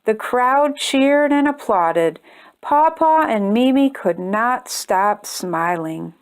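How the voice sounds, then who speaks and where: A woman with a fairly high voice reads a children's picture book aloud. She tells it expressively and deliberately, leaning on key phrases as a storytime host does. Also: animated, clean tone.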